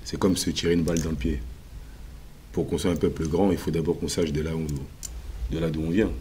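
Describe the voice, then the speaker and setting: A man answering questions as a musician guest in a TV interview, confident and emphatic, with frequent filler pauses. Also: very deep voice, fairly even intonation, mellow, husky. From Congo.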